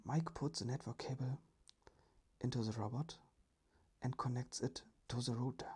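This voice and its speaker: A storyteller narrating a scripted children's tale in a soft, breathy ASMR whisper. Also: mid-range voice, normal speed.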